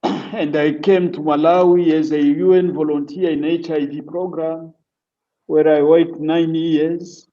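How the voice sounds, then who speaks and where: Middle-aged male doctor, Congolese accent, slightly high but very mellow, measured interview answers, dull distant online call sound. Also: level tone. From Democratic Republic of the Congo. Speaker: family physician, interview guest. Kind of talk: recounting his career in an interview. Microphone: online call on a weak connection.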